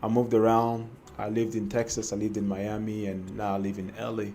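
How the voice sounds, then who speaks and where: A man's voice: lowish voice, monotone, a little fast, dark tone, slight background noise. A young man from Cameroon telling his personal trading story to camera, hesitant and full of fillers and restarts. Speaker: trading vlogger.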